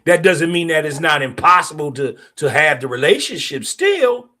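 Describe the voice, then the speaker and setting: A man's voice: on the high side, sing-song, moderate pace, bright, forceful tone. A middle-aged man, hosting a podcast and speaking bluntly in a streetwise, opinionated way. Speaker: podcast host. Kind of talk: casual monologue podcast.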